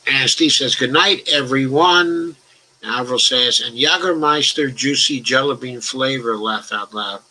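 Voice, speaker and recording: A man's voice: medium pitch, unhurried, pushed and bright, rough recording. An older man hosting a casual live-stream talk, reading out viewer comments and answering, with occasional stutters and chuckles. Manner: talks about his own stutter, some restarts. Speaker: host of a political discussion show.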